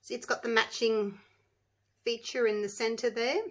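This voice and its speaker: A woman with a croaky voice, chatting casually to viewers as she demonstrates a resin craft project. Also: a little high, flat delivery, moderate pace. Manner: chatty, rambling, talks to viewers while working. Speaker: resin craft channel host.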